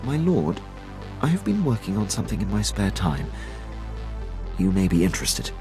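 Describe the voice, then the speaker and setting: A man's voice: low-pitched, huge pitch swings, normal speed, music in the background, rough recording. An actor playing a dutiful, keen male military officer in a video game, speaking formally and with deference to a superior. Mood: earnest, deferential. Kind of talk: dramatic scripted game dialogue.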